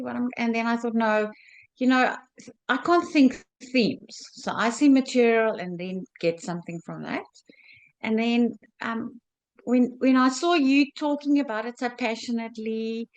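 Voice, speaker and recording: A South African woman being interviewed about her quilt, speaking haltingly with many fillers and restarts. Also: on the high side. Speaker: guest quilter. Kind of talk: video call interview.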